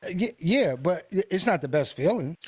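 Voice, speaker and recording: A man co-hosting a podcast in a relaxed, casual way, questioning and pushing back on the host's takes. His voice is somewhat high for a man, and it is heard dry and close on a studio mic. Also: moderate pace, breathy edge, dry recording. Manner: laid-back, questioning, pushes back. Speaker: podcast co-host.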